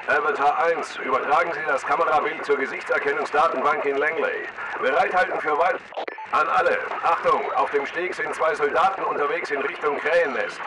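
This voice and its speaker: A man's voice: on the high side, a little fast, background noise, lightly acted. A man playing a clipped, authoritative military command-post radio operator in a video game. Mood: commanding, urgent.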